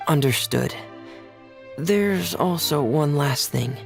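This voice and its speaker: A man voicing a gentle, reserved teenage boy in a video game, hesitant, soft-spoken and careful. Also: a little high, animated, slow pace, gravelly, faint music behind, full character voice. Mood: serious.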